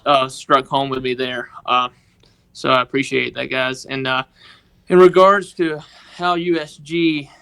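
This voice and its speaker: A man with a Mississippi accent, telling a personal story on a podcast in a halting, earnest way. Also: mid-range voice, steady tone, creaky, a bit bright, clean tone. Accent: Southern US, Mississippi. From northeast Mississippi, United States. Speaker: podcast guest, organization member telling his story.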